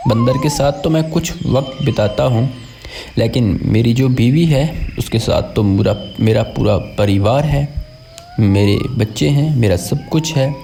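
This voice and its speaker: A man's voice: medium pitch, unhurried, creaky, dark tone, faint music behind. A man voicing a loyal but torn crocodile in a children's story, earnest and reasoning.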